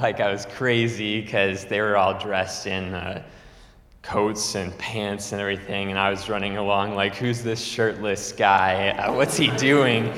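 A man's voice: on the low side, fairly bright tone. A young man from Nebraska, a student telling a true story to an audience in a chatty way, laughing often and using lots of fillers. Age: young adult. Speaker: student speaker. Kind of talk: storytelling speech. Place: lecture hall. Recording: echoey hall with long reverb.

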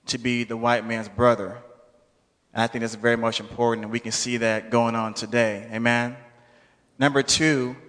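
A man's voice rings out with long hall reverb as he delivers a public address. His pitch is mid-range and fairly even, and his manner is preacherly, that of a speaker before a gathering. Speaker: speaker introducing a program.

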